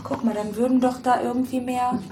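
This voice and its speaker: Higher-voiced woman chatting in an echoey room. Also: flat delivery, fairly quick, noisy background. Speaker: participant exploring a stranger's flat. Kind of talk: casual chat. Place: indoors in a private flat. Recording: echoey room with music behind.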